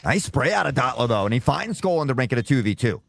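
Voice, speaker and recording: A male esports caster with a slightly sore throat, calling play-by-play and erupting into loud shouts on big plays. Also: mid-range voice, very animated, quick pace, gravelly, slight background noise.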